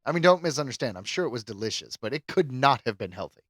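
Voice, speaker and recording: A man's voice: mid-range voice, animated, fast talker, a bit bright, no echo, studio quality. A man co-hosting a podcast in a casual, chatty style. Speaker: podcast co-host. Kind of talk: casual chat.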